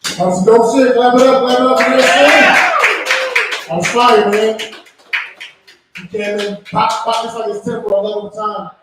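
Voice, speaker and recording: Brief: male open mic host, high voice, joking banter, distant mic with crowd behind. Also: a little slow, long pauses. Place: open mic at a bar venue. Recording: echoey room from far off, crowd noise.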